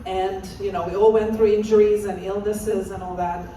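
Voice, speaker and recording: A woman's voice: medium pitch, flat delivery, moderate pace, mellow, slight background noise. A woman, giving an interactive conference talk as a presenter and encouraging the audience to call out answers. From Romania. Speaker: assistant professor and presenter. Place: large conference hall. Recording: echoey hall, voice far off.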